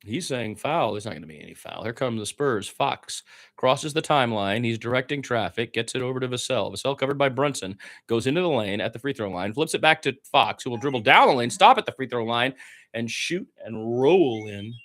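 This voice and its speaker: A man's voice: mid-range voice, lively intonation, fairly quick, fairly bright tone. A man giving informal radio-style basketball play-by-play, with blunt, conversational and opinionated asides. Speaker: play-by-play sports commentator.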